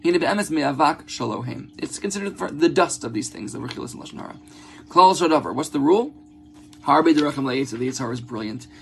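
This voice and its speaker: Male religious teacher, gravelly voice, halting explanatory delivery with repeated words, moving between Hebrew quotation and English, recorded with background hum. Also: a little high, animated. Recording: clear background noise with a hum.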